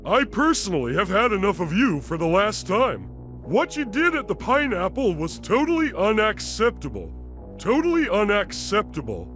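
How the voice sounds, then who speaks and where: A fed-up male starfish, played by a man in a homemade cartoon tale. His voice sits high for a man and leaps around in pitch, but his tone stays cold and scolding. Faint music runs underneath him. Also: huge pitch swings, normal speed, a bit bright, exaggerated voice. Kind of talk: fan storytelling.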